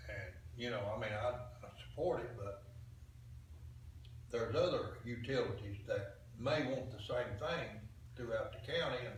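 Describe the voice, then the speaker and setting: An older man with a Southern American accent makes halting, plain-spoken remarks as a county commissioner at a meeting. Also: mid-range voice. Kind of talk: informal remarks at a public meeting. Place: small indoor meeting room. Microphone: camera microphone across the room. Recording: very echoey room, distant mic, background noise.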